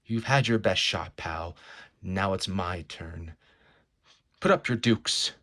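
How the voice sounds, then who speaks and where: Man doing a slow, teasing beast hero. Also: low-pitched, fairly bright tone. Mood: taunting. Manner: flowery, mock-polite taunting. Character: blue-furred male beast hero, wordy, teasing, playful. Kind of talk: comic book read-aloud acting.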